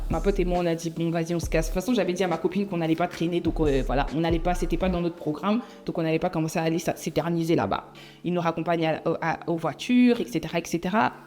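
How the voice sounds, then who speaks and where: A young woman from Belgium, telling a personal story in a chatty, animated way and slipping in English phrases. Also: fairly low pitch. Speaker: vlogger. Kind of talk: casual storytime monologue.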